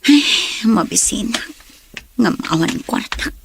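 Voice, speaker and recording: A woman's voice: medium pitch, lively intonation, slow pace, vocal fry, background noise. A woman acting a resentful, hard-up young woman's inner monologue in an Ilocano radio drama. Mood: resentful, secretive.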